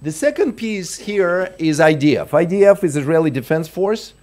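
A middle-aged man delivers a keynote from a lectern microphone in a large conference hall. His voice sits a little high for a man. He explains point by point and often stops, restarts and repeats words. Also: animated, normal speed, a little mellow. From Russia. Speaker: keynote speaker.

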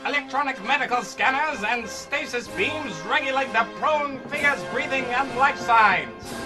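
A man plays a melodramatic old-time radio announcer narrating a space serial. His voice is very high in pitch and grand in delivery. Music plays clearly behind him. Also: unhurried. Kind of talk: parody 1940s radio announcing.

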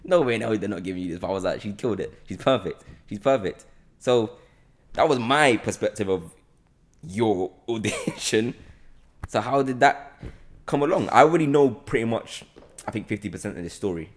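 A man's voice: on the low side, animated, normal speed. A young adult man with a London accent hosting a casual chat, playful and repeating phrases for emphasis, on a close podcast mic. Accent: London British. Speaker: podcast host, actor. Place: bright indoor open-plan room on a sofa. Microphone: close podcast mic on boom arm.

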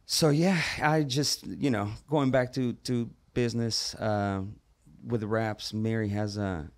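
Guy from ecuador, slight accent, low gravelly voice, podcast guest, keeps repeating words starting sentences. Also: slow. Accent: slight Ecuadorian Spanish. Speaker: podcast guest, business owner. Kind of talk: casual interview chat. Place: studio set with wooden walls and shelves. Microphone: boom mic with headphones.

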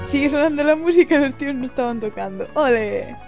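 A woman's voice: high-pitched, animated, brisk, a little mellow. A young woman with an accent from Spain, doing casual, self-deprecating gameplay commentary with informal swearing. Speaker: gaming video host. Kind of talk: casual gameplay commentary, reading game dialogue aloud. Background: game music.

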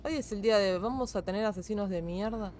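A woman's voice: medium pitch, fast, a little mellow, a little hiss or hum. A woman with an Argentine Spanish accent who streams games, chatting casually in a blunt, slangy, foul-mouthed way. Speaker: game streamer. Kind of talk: casual chat while gaming.

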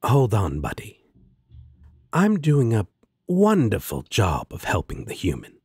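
A man's voice: lowish voice, huge pitch swings, measured pace, darkish tone, husky, no room sound, clean studio sound, heavily acted. A man playing a laid-back, sarcastic, protective demon roommate in an audio roleplay, with a drawling manner. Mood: indignant. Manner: drawling, sardonic.

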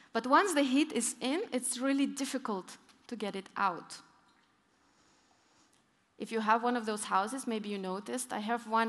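A young woman speaks from a big conference stage through a headset mic, her voice a little high and ringing through a long hall reverb. She tells her stories with lively emphasis and presses firmly on her key points. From northern Europe, Arctic region. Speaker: keynote speaker. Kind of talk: conference keynote talk.

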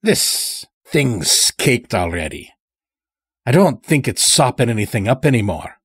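A man performs a weary, sly old man from a dark audio story. His pitch is lifted and swings widely, and he rambles along in folksy, clipped grammar. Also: very animated, unhurried, slightly rough, dry recording, heavily acted. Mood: wry, casual. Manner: folksy, rambling, dropped words.

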